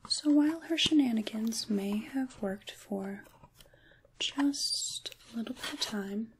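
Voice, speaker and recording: A woman playing an ancient, kindly witch in a soft-spoken ASMR role-play, with breathy, whispery speech and a musing, wry, gently reassuring manner. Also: fairly high pitch, slow pace, noisy background. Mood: calm, wry.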